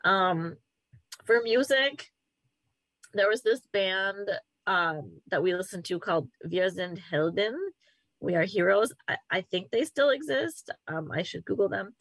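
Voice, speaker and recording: A woman's voice: mid-range voice, measured pace, fairly bright tone, dry recording, low-quality recording. A woman co-hosting a podcast, chatting casually with frequent ums. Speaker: podcast co-host.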